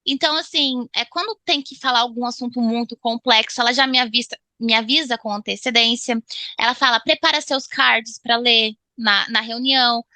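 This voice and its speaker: Bright-voiced Brazilian woman with creaky edge. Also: on the high side, no room sound. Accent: Brazilian Portuguese. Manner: halting, repeats words as she searches for phrasing. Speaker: interview guest, English student. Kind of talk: casual interview conversation.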